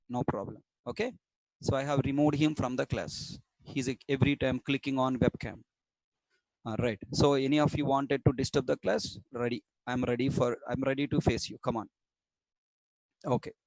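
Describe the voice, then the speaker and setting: A man's voice: medium pitch, steady tone, normal speed, a little mellow, dry recording, rough recording. A stern man from Coastal Andhra with a Telugu-accented Indian English, lecturing an online programming class, repeating points and quizzing students. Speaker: programming instructor.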